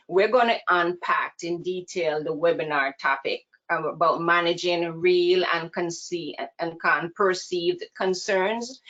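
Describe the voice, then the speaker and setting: A woman's voice: on the low side, fairly bright tone. Jamaican-accented woman presenting a webinar. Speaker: counseling presenter. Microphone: video call. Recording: dull lo-fi video call audio.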